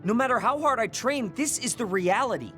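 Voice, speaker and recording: Anime game role: proud, insecure young soldier, brusque and intense, widely swinging pitch, music behind. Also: male voice, expressive, brisk, fairly bright tone. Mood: frustrated, despairing. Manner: brusque, intense, self-critical. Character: proud, insecure young male platoon fighter. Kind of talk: English anime game dub acting.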